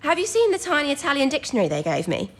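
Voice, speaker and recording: A woman's voice: high-pitched, wildly swooping pitch, rapid-fire. A young woman playing an eager, modest teenage recruit to wartime codebreaking in a period radio drama, chatty and polite. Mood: earnest. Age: young adult.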